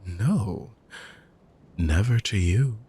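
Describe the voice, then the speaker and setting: A man voicing a weary ancient dark mage villain in a fantasy roleplay audio, speaking in a slow, measured way that drifts into hushed whispers. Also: fairly low pitch, expressive, slight background noise. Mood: weary, menacing.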